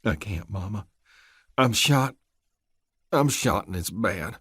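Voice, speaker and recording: A man's voice: on the low side, animated, slow, a bit bright, husky, no room sound, clean studio sound, heavily acted. A man voicing a wounded sheriff, sobbing and gasping in grief. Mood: sobbing, anguished. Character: desperate wounded sheriff, former football star.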